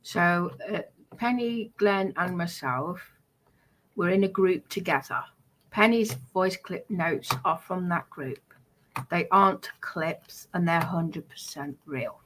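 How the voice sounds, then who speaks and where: A woman's voice: on the low side, takes it slowly, a bit bright, slight background noise, rough recording. A British woman streamer talking casually and unscripted, in a defensive, insistent manner. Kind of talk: casual unscripted livestream talk.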